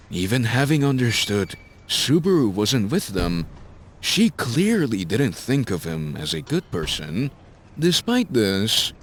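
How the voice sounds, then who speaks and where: A man's voice: lowish voice, slight background noise. A man narrating a scripted fantasy story as a dramatic audiobook-style narrator.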